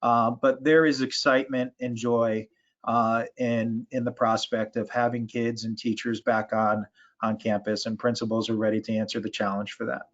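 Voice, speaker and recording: A man answering questions as an association official in an online meeting, speaking in a halting, measured way with false starts. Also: mid-range voice, little pitch movement. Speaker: education and school sports association official. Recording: dull, lo-fi video call audio.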